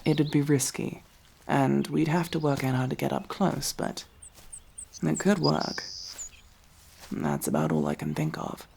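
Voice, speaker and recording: A woman's voice: extremely low pitch, expressive, unhurried, creaky, a little mellow, a little hiss or hum, full character voice. A woman playing a wry, loyal elf rogue in an intimate ASMR fantasy roleplay, with a casual, teasing, dryly supportive way of talking. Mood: thoughtful, encouraging.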